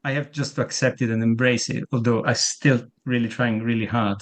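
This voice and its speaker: A man's voice: mid-range voice, steady tone, fairly quick. Italian-accented man, hesitant on a video call. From Italy. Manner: hesitant, repeats words when starting sentences. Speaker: interview guest, musician. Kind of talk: casual video-call interview.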